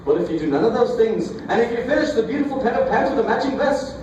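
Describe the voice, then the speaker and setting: Guy doing a bossy mischievous leprechaun for a fairy tale, high voice, echoey distant recording. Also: animated, quick pace, a little mellow, lo-fi sound. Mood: gleeful, scheming. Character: meddling leprechaun, mischievous, setting absurd rules. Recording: roomy, distant, noisy festival stage recording.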